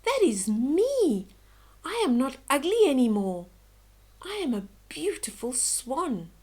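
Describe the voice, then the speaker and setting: A woman voicing a timid young storybook duckling, speaking softly and earnestly. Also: sits high, big pitch swings, takes it slowly, full character voice. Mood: amazed. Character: sad, timid young duckling who turns out a swan.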